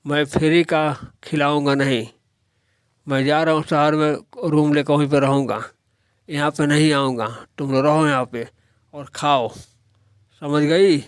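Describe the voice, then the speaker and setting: A man's voice: a little high, fairly even intonation, a little slow, clear, resonant tone, no room sound, clean studio sound, natural voice. A man voicing a stern, worldly-wise older father in a moral-story cartoon, lecturing and admonishing.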